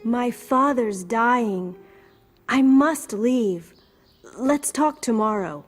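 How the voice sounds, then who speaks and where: A woman's voice: on the high side, animated, extremely slow, faint music behind, full character voice. A woman playing a clever, brave young princess in a storybook, with an American accent and a composed, decisive manner. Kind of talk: storybook dialogue.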